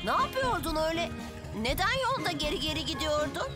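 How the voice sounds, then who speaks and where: A very high, lively little boy rescue motorbike from a cartoon dub, eager and boastful. The delivery is unhurried and swings widely in pitch, with loud music underneath. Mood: curious. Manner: boastful, excitable.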